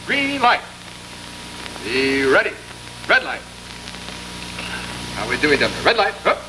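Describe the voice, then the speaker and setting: A man's voice: fairly high pitch, huge pitch swings, slow pace. A man playfully calling a game as announcer on a vintage children's show. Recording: noisy old broadcast archive.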